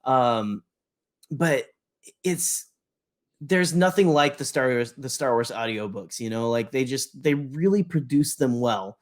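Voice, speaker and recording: A man co-hosting a podcast in casual fan discussion, talking in a rambling, halting way and often rephrasing mid-sentence. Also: mid-range voice, lively intonation. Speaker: podcast co-host.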